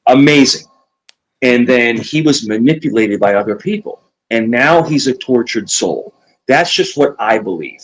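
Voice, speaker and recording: A man's voice: mid-range voice, animated, normal speed, rough recording. A man from New York co-hosting a casual talk show, earnest and opinionated, often repeating himself. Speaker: co-host.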